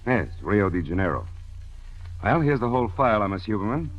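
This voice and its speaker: A low, businesslike male intelligence chief in a 1940s radio drama. He briefs briskly and dryly, matter-of-fact throughout. The sound is the dull, narrow band of a vintage broadcast. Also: big pitch swings, faint music behind.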